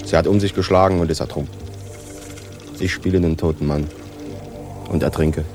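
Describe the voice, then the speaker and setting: Casting: resigned, gloomy sailor, low male voice, pirate radio play, music underneath. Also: fairly even intonation, moderate pace. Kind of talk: radio drama acting.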